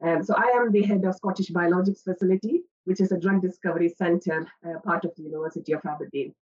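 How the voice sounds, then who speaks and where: A woman who heads a research facility introduces herself on a panel in a steady way, with many "uh" fillers. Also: lowish voice, fast talker, husky, lo-fi sound. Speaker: head of a drug discovery facility.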